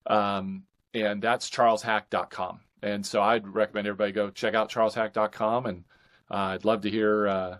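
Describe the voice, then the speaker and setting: A man with a fairly low voice speaks as an author guest on a podcast. He chats casually, in the relaxed give-and-take of an interview. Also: moderate pace.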